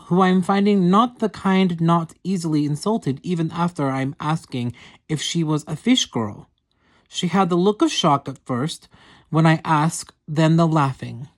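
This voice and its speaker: A woman's voice: low voice, darkish tone. A woman voicing an earnest young female yeti, reading her diary in stiff, formal, broken English. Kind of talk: reading diary entries aloud.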